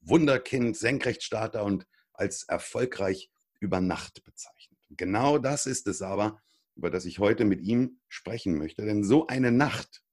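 A man's voice: fairly low pitch, animated, measured pace, a little mellow. A man hosting a podcast interview, introducing his guest with upbeat enthusiasm. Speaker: podcast host.

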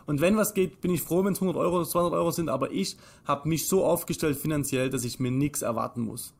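Austrian man with a slightly high voice. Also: quick pace, gravelly, a little mellow, husky. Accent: Austrian German. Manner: emphatic, instructive, poses and answers own questions. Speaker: financial advisor, interview guest. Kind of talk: explanatory conversation.

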